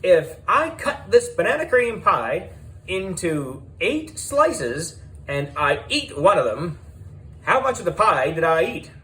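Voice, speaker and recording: A man voicing an exuberant clown who addresses children in a showy, drawn-out, teacherly way for a children's story. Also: high voice, sing-song, sharp tone, pitched above the performer's own voice, full character voice. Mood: showy, playful. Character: Brownie, exuberant clown quizzing kids on fractions.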